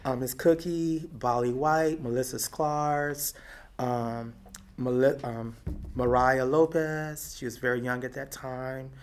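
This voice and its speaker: A middle-aged woman from Texas with a deep, raspy voice, talking and laughing her way through memories in an oral history interview. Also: takes it slowly. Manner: lively, talkative, laughs often. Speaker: activist interviewee.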